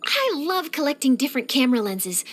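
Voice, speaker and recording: A young woman voicing an eager, curious, cheerful young reporter in a video game, with a chatty, expressive delivery. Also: high voice, a little fast. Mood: friendly, eager. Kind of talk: scripted video game character voice lines.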